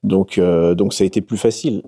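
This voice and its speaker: A young adult man from France, a guest talking casually in an interview, halting and full of fillers. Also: deep voice, steady tone, mellow. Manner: halting, many fillers and restarts.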